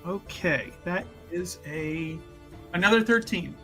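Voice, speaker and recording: A man's voice: high-pitched, slow, music in the background. A man chatting casually as a tabletop game player, jokey and thinking aloud in stop-start phrases. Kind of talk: casual improvised game chat.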